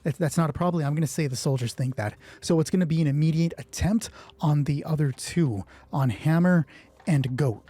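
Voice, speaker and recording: A man's voice: a little high, a little fast, mellow, husky. A man narrating a solo tabletop game as game master, thinking aloud and asking himself questions that he then answers. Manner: deliberating, asks himself questions and answers them.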